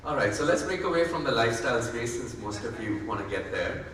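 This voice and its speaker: A man's voice: medium pitch, expressive, fast talker, a bit bright, slight background noise. A man moderating a conference panel, asking questions with a light, teasing manner. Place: large conference hall. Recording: echoey hall, distant mic.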